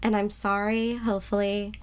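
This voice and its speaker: A woman's voice: mid-range voice, little pitch movement, moderate pace, clean tone. A woman co-hosting a podcast, nasal and a bit congested from a cold, chatting and telling history in a bubbly, self-deprecating way. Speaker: podcast co-host. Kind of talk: casual chat, telling history.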